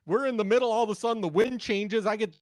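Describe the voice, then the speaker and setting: A man with a fairly high voice co-hosts a casual talk show. He speaks in loose, conversational explanations and stories, recorded dry with little room sound. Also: fast talker, dry recording. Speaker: talk radio co-host, former TV news worker. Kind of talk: casual chat and storytelling.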